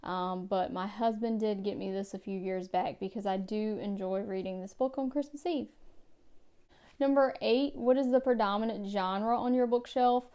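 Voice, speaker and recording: A woman vlogger going through a book tag at home by her bookshelves, reading each question and answering in a careful, measured way. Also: medium pitch, warm tone, clean tone. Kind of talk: casual book tag vlog.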